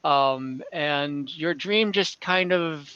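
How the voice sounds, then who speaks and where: A man with a bright, fairly high, clean voice acts as game master, improvising scenes in a hesitant, halting way. The sound is very dry and close, as in an online session. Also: clear, resonant tone, dry recording, highs cut off. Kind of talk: improvised tabletop game narration.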